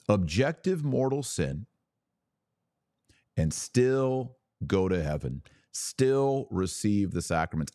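A man's voice: fairly low pitch, a little mellow, no room sound, clean studio sound. A man hosting an opinionated religious podcast, delivering an emphatic, preachy monologue addressed straight to his audience. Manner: emphatic, preachy, rhetorical questions and asides. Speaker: podcast host and religious commentator.

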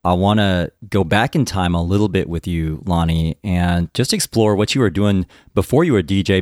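A man hosts an interview in a very deep voice, asking friendly, curious questions. His talk is conversational and easygoing. The sound is dry and close to the mic. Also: darkish tone, dry recording. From United States. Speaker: podcast host. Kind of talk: interview hosting.

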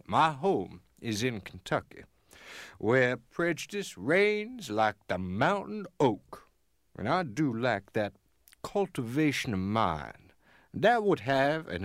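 Dignified Union sergeant, swooping oratory. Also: male voice, medium pitch, no echo, clean studio sound. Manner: oratorical, formal, impassioned. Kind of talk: dramatic reading of a formal letter.

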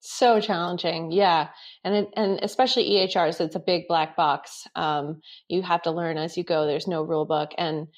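A woman's voice: on the low side, fairly even intonation, moderate pace, hardly any pauses. A woman in her thirties, a company founder, answering interview questions with enthusiasm and frequent ums. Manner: enthusiastic, lots of fillers. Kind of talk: casual interview chat.

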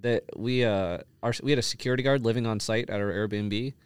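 A man's voice: fairly low pitch, a little fast, smooth, no room sound. A man co-hosting a podcast, talking casually and telling anecdotes in loose, conversational banter. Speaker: podcast co-host.

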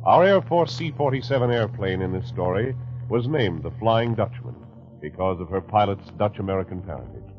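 A man's voice: fairly low pitch, animated, normal speed. Male vintage radio announcer, very varied intonation, formal scripted delivery, dull old recording, light music bed. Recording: muffled 1940s radio broadcast.

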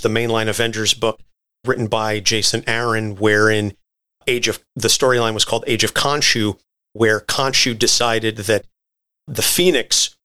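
A man's voice: fairly low pitch, pushed and bright. A man co-hosting a podcast, explaining comic book history in a conversational, articulate and wordy way. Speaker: podcast co-host.

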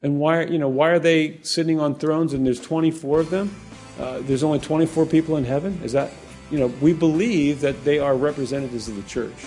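A male pastor teaching verse by verse in a casual, animated way, with frequent restarts and asides to the congregation. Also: fairly high pitch, a little fast, soft background music. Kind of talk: conversational verse-by-verse Bible teaching.

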